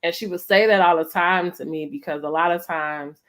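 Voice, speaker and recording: A woman's voice: on the low side, steady tone, a little fast. A middle-aged American woman hosting a casual, reflective conversation, speaking emphatically and often checking in with her listener. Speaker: host of a family storytime conversation.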